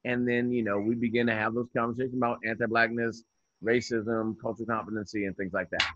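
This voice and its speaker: A man's voice: mid-range voice, level tone, quick pace, fairly bright tone, no room sound, highs cut off. Earnest man in his fifties on webcam. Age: 50s. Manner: earnest, reflective, with many restarts. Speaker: guest educator. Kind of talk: interview on a video call. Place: indoors by a window. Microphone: video call webcam.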